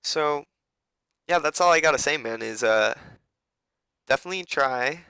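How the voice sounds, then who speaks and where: Young man, raspy and bright-toned, casual profane game coach, dry close mic. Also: a little high, normal speed, no echo. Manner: loose, profane, rambling.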